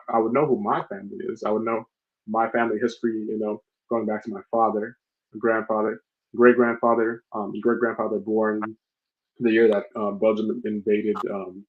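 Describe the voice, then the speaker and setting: A man from Congo who founded an organization, speaking earnestly on a live video call with many fillers and restarts. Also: on the low side. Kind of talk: live online conversation. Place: home room, map on wall behind. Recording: muffled lo-fi audio.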